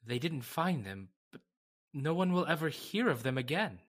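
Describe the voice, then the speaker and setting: A man playing a shaken, earnest witness in old-time radio drama, speaking in a halting, dramatic way with stammered repetitions. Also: on the high side, big pitch swings. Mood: uneasy, awed. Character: shaken, earnest man recounting an uncanny fire, Mister Lamont.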